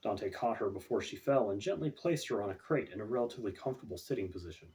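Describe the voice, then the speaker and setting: A man's voice: fairly low pitch, animated, fast talker, a little mellow. A man reading his own fantasy novel as scripted audiobook narration, recorded away from the microphone.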